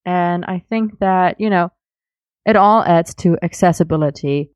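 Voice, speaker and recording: A woman's voice: lowish voice, unhurried, a little mellow, no echo. A woman giving casual spoken comments as a podcast contributor.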